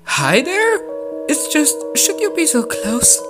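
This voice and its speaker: A man's voice: very high voice, huge pitch swings, slow pace, over loud music, pitched above the performer's own voice, full character voice. A man voicing an awkward, easily flustered teenage anime boy in a fan dub, his voice swinging between murmurs and yelps.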